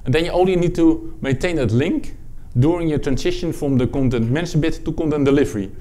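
A man from the Netherlands with a Dutch accent, presenting a technical product talk at a conference, halting, with many fillers and restarts. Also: fairly high pitch, warm tone. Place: conference room with audience.

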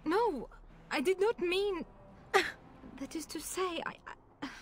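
A woman playing a desperate, proud noblewoman in a fantasy game, pleading formally and haltingly. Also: very high-pitched, expressive, a crowd in the background, exaggerated voice. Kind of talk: dramatic fantasy game acting. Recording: game dialogue over faint ambient noise.

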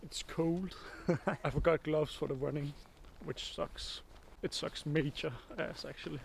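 Young man vlogging, gravelly voice. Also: on the high side, unhurried, background noise. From Denmark. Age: young adult. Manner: relaxed, chatty, filler-heavy. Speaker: kayak athlete vlogger. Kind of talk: casual vlog narration. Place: apartment living room indoors, then car and outdoors. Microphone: handheld camera at arm's length.

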